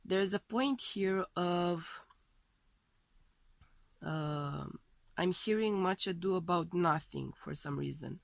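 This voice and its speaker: Tarot reader, woman, voice off from a cold; unhurried, searching delivery, close dry mic. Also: fairly low pitch, vocal fry, no room sound. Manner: tentative, searching phrasing as she reads cards. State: voice thrown off by a heavy cold. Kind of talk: weekly tarot love reading.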